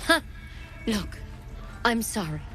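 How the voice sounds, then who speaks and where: A woman's voice: mid-range voice, very animated, takes it slowly, a bit bright. A woman playing an earnest, apologetic druid in a fantasy game, speaking sincerely and a little hesitantly. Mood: apologetic, hopeful. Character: earnest, grateful, apologetic female grove-dwelling druid. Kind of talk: cinematic fantasy game acting. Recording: music clearly under the voice.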